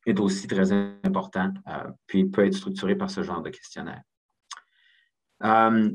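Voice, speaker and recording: Male, 50s, Québécois accent, lowish voice, thoughtful seminar speaker with halting, measured phrasing. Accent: Québécois French. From Quebec, Canada. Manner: hesitant, measured delivery with repeated words. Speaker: health agency executive presenting a seminar. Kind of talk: academic seminar presentation, semi-spontaneous.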